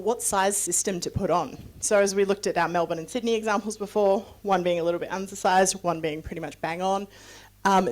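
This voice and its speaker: A woman's voice: medium pitch, darkish tone. A woman from New Zealand who is a guest speaker on sustainability. She gives a chatty, conversational presentation with many fillers and asides, and she answers audience questions.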